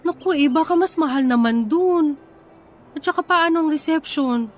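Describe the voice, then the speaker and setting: A woman's voice: high voice, moderate pace, natural voice. A woman playing a modest, practical young Filipina wife and mother in a radio drama, hesitant and questioning. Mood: doubtful, questioning.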